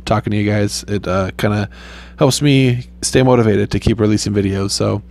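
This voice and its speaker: Laid-back young man, low flat voice. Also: moderate pace, gravelly. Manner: laid-back, rambling, frequent swearing. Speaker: gaming YouTuber. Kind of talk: casual gameplay commentary.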